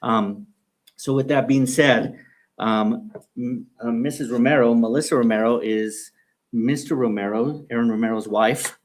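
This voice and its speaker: A man's voice: mid-range voice, steady tone, a little slow. A man leading a school board meeting, talking in a hesitant way with many restarts. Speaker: school superintendent running the meeting agenda. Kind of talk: meeting announcements.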